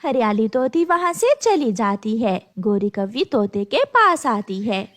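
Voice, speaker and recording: Woman telling a kids cartoon story, high voice, very animated reading. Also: unhurried. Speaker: narrator. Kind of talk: scripted children's story narration.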